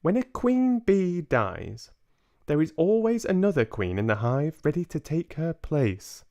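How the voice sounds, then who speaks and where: A man voicing a gentle, wise old grandmother, calm and loving, with tender, deliberate speech, in a storybook reading. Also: fairly high pitch, voice pushed up. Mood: grave, assured.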